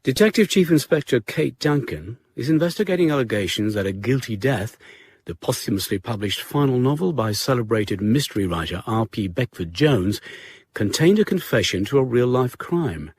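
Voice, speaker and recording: A man giving a formal, measured scripted recap as the announcer of a radio drama. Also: medium pitch, big pitch swings, darkish tone, slightly rough.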